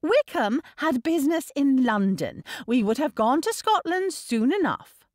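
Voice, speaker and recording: A woman's voice: high-pitched, sing-song, unhurried, no room sound, clean studio sound, pitched above the performer's own voice. A woman voicing a spoiled teenage girl in an audiobook, petulant, haughty and indignant. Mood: petulant, defensive.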